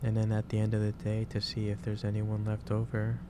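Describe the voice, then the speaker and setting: A man playing a gentle, caring office boss in an ASMR roleplay, speaking in a hesitant, reassuring way. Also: on the low side, monotone, brisk, natural voice. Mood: fond, concerned. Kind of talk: ASMR roleplay, soft improvised monologue. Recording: clear background noise, ambience.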